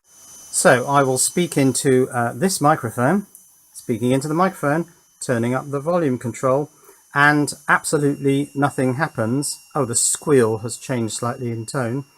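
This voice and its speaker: A man's voice: medium pitch, measured pace, fairly bright tone, low-quality recording. A man presenting a hands-on electronics demonstration in a casual, wry, understated way with dry humour.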